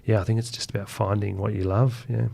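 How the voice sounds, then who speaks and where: A man's voice: lowish voice, little pitch movement, fast, vocal fry, warm tone. A man with an Australian accent chatting informally and enthusiastically as a guest author in an interview. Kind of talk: casual conversational interview.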